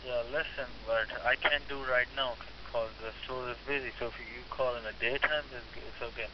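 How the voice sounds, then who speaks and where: A man's voice: mid-range voice, steady tone, moderate pace. A man working in a store, talking on the phone in hesitant, non-native grammar. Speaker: store worker. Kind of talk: phone conversation. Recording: dull lo-fi phone line, clear hiss.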